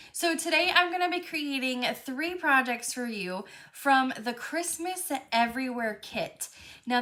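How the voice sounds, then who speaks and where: A woman's voice: medium pitch, fairly bright tone. A woman hosting a live crafting stream, chatting casually with lots of fillers, a little stuffy from a cold. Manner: chatty, friendly, many fillers. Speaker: crafting show host.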